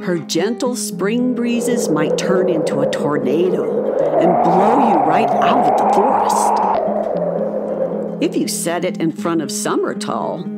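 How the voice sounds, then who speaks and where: A woman narrating a children's story from a script in a measured, expressive storytelling manner. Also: on the low side.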